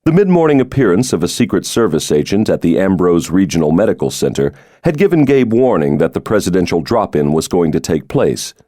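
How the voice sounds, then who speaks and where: A man's voice: deep voice, big pitch swings, a little fast, hardly any pauses, mellow, no echo, clean studio sound. A man reading a thriller novel aloud as a scripted audiobook narration.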